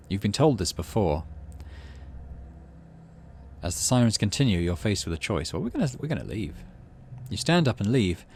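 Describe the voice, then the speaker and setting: A man hosting a let's play, reading a story game aloud with expressive delivery and muttering asides about his moves. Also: on the low side, slightly rough.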